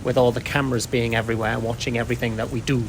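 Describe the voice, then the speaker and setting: A man's voice: medium pitch, little pitch movement, racing, background noise. A middle-aged British man giving thoughtful, explanatory answers in a conversational interview. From United Kingdom.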